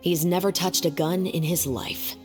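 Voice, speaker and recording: A woman playing a stern, principled young police captain in a video game, speaking firmly and in a measured way. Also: on the low side, steady tone, a little mellow, in a large hall, soft background music, close to an everyday voice. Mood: composed, explaining. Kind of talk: dramatic game voice acting.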